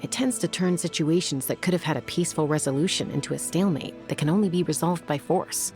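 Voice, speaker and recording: A woman's voice: deep voice, quick pace, a little mellow. An actress playing a cool, confident young woman warrior and peacemaker, wry and composed, in a video game. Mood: reflective, composed. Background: background music.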